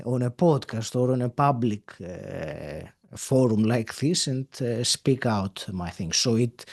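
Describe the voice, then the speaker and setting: A man in his fifties from Greece with a Greek accent, speaking English as a second language, answering a podcast interviewer in a thoughtful way with many pauses and fillers. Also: mid-range voice, a little mellow. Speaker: podcast guest. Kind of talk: interview conversation.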